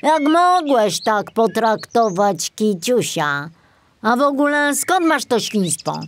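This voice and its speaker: Woman voicing a prim, high-pitched little male forest sprite. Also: big pitch swings, slow, a little hiss or hum. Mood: indignant. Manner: formal, admonishing. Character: small prim gnome-like forest sprite, male, touchy and polite.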